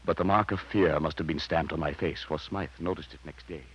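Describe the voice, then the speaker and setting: A man's voice: rumbling low, fairly even intonation, a bit bright, breathy edge, heavily acted. An actor playing a frightened, haunted man narrating his horror tale in dramatic 1940s radio style. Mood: grave, uneasy. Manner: dramatic, halting when shaken. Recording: dull 1940s radio recording with faint music.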